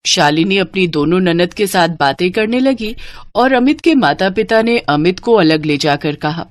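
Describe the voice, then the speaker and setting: A woman's voice: lowish voice, animated, a little slow, dry recording. A woman narrating a scripted children's moral story as storyteller.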